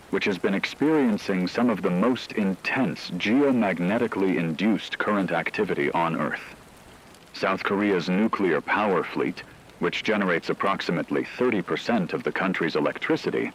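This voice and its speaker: Very deep-voiced man narrating grave news. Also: fairly bright tone, a little hiss or hum, low-quality recording. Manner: measured, formal, grave delivery. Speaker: radio broadcast host. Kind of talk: scripted documentary-style news narration.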